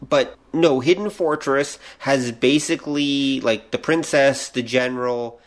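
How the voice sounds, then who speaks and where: A man's voice: mid-range voice, a little slow. A man co-hosting a podcast, explaining films in a casual, opinionated way. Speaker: podcast co-host. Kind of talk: casual film chat.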